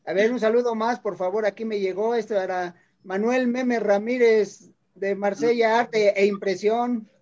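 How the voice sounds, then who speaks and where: High-pitched guy hosting radio, rambles and restarts a lot, kinda dull lo-fi sound. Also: fairly even intonation, moderate pace, few pauses, darkish tone. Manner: rambling, repeats words and restarts. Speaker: radio host. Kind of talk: radio interview chat.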